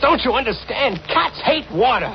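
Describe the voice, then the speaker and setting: An actor playing a terrified, haunted husband with a fearful, halting, melodramatic manner in 1940s radio drama. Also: male voice, very high-pitched, very animated, exaggerated voice. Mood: desperate. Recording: muffled, hissy old radio recording.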